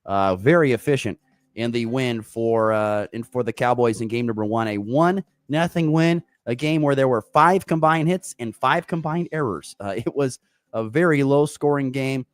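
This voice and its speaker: Hoarse male sports talk host, upbeat, filler-heavy, mid-pitched, dry close sound. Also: normal speed, no echo. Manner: upbeat, rambling with many uh fillers. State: hoarse, slight sore throat. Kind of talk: morning sports talk show.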